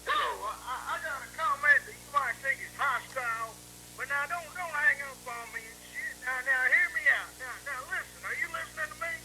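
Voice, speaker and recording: A man making a prank phone call to a live show, halting and needling with mock earnestness over a tinny line. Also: extremely high pitch, sharp tone, a little hiss or hum. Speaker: prank caller. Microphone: telephone.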